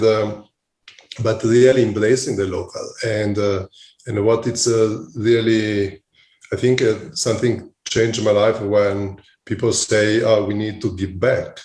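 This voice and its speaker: A man's voice: fairly low pitch, fairly even intonation, measured pace, darkish tone. A man with an Italian accent answering interview questions conversationally and reflectively, searching for his words as he goes. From Italy. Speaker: podcast guest, hospitality company CEO. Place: remote call, echoey room. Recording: echoey remote call.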